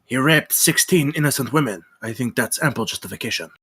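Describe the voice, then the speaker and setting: A man playing a brooding, controlled, vengeful count in a dramatic table read, with a husky voice. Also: medium pitch, expressive, fairly quick, sharp tone, exaggerated voice.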